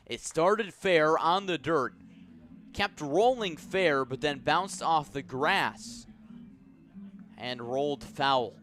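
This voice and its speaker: A man calling a baseball game live as a radio play-by-play announcer, with measured, descriptive delivery that lifts when the action picks up. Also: on the high side, fairly bright tone, faint music behind. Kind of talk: live sports commentary.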